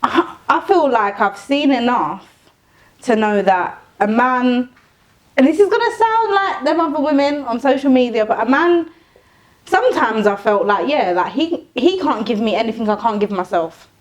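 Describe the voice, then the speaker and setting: A woman's voice: fairly high pitch, animated, normal speed. A woman in her late twenties in a casual podcast debate, earnestly defending her view and talking over the men. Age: late 20s. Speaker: podcast panelist.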